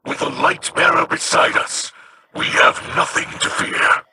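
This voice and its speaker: A man's voice: measured pace, bright, forceful tone. An actor playing a solemn, formal male alien leader who gives grave commands.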